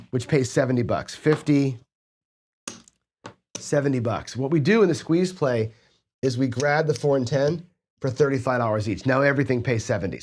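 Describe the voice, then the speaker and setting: A man's voice: medium pitch, a little hiss or hum. A man with a cold and a stuffy nose explaining a gambling strategy in a conversational tutorial, often checking in with his listeners. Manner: conversational, frequent tag questions "right?". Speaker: host of a casino gambling channel.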